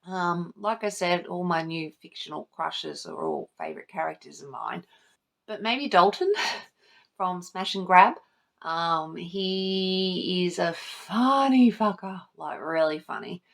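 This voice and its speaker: A woman with a lowish, creaky voice chats informally to camera like a hobby vlogger. She swears freely and gushes about her favourites in a loose, conversational way. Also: sing-song, takes it slowly, fairly bright tone. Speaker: book vlogger, channel host. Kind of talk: casual chat to camera, book tag video.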